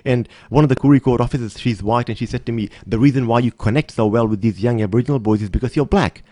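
A man's voice: mid-range voice, fast talker, dark tone, dry recording. A man telling personal anecdotes in an interview, speaking emphatically and repeating phrases for stress. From Australia. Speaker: podcast guest, motivational speaker.

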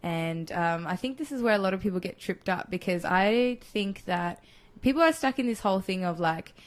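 A woman in her twenties from Chile, a podcast guest talking in a relaxed, thoughtful way with many fillers. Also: mid-range voice, moderate pace. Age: 20s. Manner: thoughtful, filler-heavy, laughs easily. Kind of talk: casual interview chat.

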